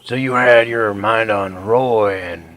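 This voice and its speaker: Man playing a very slow, deadpan dim hero. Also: lowish voice, slight background noise. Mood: deadpan defiance. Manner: deadpan, speaks of himself in third person. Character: dim, unflappable male adventurer hero. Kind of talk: audio drama acting.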